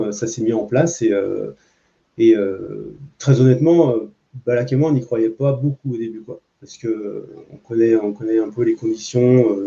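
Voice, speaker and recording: A man speaking off the cuff on a panel as a comic book author, haltingly and with repeated words. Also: medium pitch, warm tone. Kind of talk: spontaneous panel talk. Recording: muffled, dull sound.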